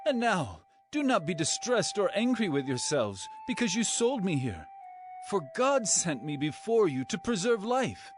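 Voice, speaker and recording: High-pitched, emotional male governor. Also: very animated, unhurried, soft background music. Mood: earnest, reassuring. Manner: earnest, emotional, declamatory. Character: Joseph, commanding yet tender brother, Egyptian governor. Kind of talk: dramatized scripture acting.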